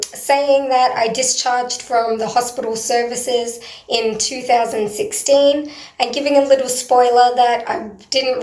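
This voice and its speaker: A woman with a hoarse, croaky voice, talking earnestly and hesitantly in a personal video diary, with frequent pauses. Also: fairly high pitch. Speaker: vlogger. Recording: roomy echo.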